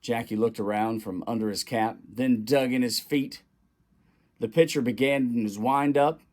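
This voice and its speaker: A man reading a children's story aloud as a guest reader, in an expressive way that acts out the dialogue. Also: mid-range voice, a little slow.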